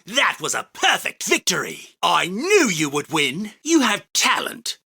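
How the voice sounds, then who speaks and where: A man's voice: sits high, wildly swooping pitch, measured pace, gravelly, pushed and bright, husky, exaggerated voice. A male voice actor playing an arrogant, grandiose self-proclaimed king of card duelists, with a pompous, commanding, theatrical delivery for a video game. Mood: haughty, proud. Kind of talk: video game character battle lines.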